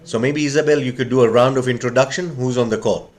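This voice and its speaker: A man's voice: mid-range voice, fairly even intonation, fast. A man leading an online seminar as chairman, speaking in a polite, conversational facilitating style.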